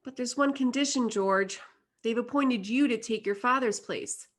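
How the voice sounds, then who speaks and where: A woman's voice: on the high side, moderate pace, natural voice. A woman playing an urgent, emphatic company board messenger in an old-time radio play.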